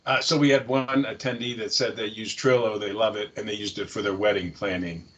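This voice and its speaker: A man's voice: lowish voice, fairly even intonation, brisk, highs cut off, very noisy. A man moderating a webinar, relaying audience questions somewhat hesitantly.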